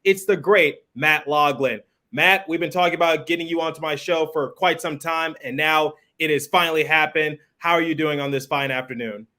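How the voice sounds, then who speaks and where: A man's voice: fairly high pitch, fairly even intonation, moderate pace, hardly any pauses, bright, forceful tone. A man hosting a sports podcast, introducing and interviewing in an upbeat style. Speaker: podcast host.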